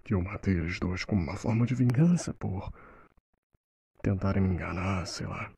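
Man acting husky, anguished young Brazilian suspect. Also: low-pitched, moderate pace, warm tone, dry recording, heavily acted. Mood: bitter, indignant. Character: defensive, anguished young man under interrogation. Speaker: fandub voice actor. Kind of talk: dramatic film dubbing.

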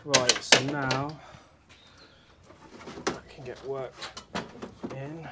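A man's voice: mid-range voice, level tone, extremely slow, very noisy. A young man working as an electrician, chatting casually with his workmate as he works, calling him mate over and over. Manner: matey, says "mate" a lot, talks while working. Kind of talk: casual work chat. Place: electrical cupboard at a stadium, indoors by an open door.

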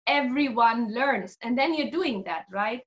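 High-voiced woman on a video call. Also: a little slow, dull audio. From Germany. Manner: animated, gestures a lot, laughs often. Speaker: guest, energy healer. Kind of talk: casual video-call interview. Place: small room at home.

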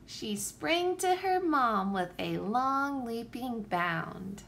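A woman reading a picture book aloud for young children with expressive, deliberate phrasing. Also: a little high, gravelly, slight background noise. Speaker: children's story reader, teacher.

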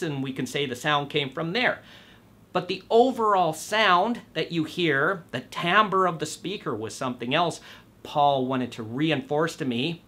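A man in his fifties presenting to camera, explaining things in measured, emphatic phrases with stressed key words. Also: a little high, bright, forceful tone. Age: 50s. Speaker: presenter of an audio show. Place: small indoor studio room.

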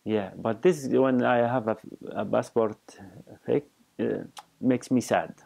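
Man in his forties, slow halting testimony, gravelly dark voice, lapel mic, soft music behind. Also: mid-range voice. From Iraq. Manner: slow, halting speech with many pauses. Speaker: interviewee. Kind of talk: personal testimony interview. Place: indoor office with bookshelves.

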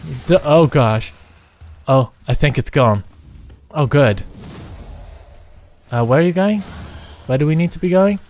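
A man chatting casually and excitably while playing a co-op game, joking and exclaiming as he goes. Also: a little high, a little slow, pauses often, soft background music. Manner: jokey, exclaiming. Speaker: gamer playing a co-op roleplay map. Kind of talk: excitable casual gameplay chat.

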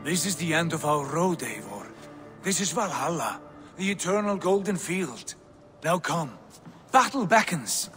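A grandiose Norse god-king from a fantasy video game. He is boastful, mercurial and commanding, with a lively swooping delivery on the higher side for a man. Faint music sits behind him. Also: a little slow, exaggerated voice. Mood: solemn, commanding. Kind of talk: dramatic video game acting.

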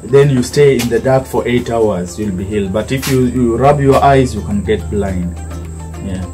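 Young male tour guide, Kenyan accent, mellow tone, explains patiently with repetition, faint music behind. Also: mid-range voice, moderate pace. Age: young adult. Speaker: snake park guide. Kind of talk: informal guided tour talk. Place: open-sided snake park shelter with mesh walls.

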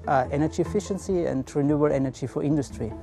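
Young English speaker, brisk and slightly halting, music underneath. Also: male voice, on the high side, gravelly. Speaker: energy engineer interviewee. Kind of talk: documentary interview.

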